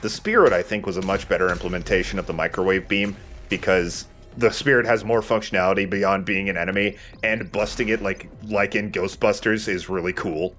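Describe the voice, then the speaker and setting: Male let's play commentator, lower register, casual and opinionated, faint game music underneath. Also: steady tone, brisk, fairly bright tone.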